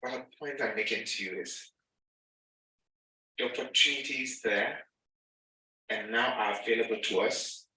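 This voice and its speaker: A man with a Ghanaian accent speaking to an audience, animated and acting out the lines of the people he quotes. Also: medium pitch, normal speed, pauses often. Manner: animated, acts out dialogue. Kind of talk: lively talk to an audience. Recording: echoey hall, mic at a distance.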